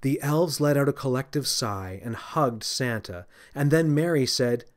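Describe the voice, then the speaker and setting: Expressive male children's storybook narrator. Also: medium pitch, unhurried. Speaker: author reading own children's audiobook.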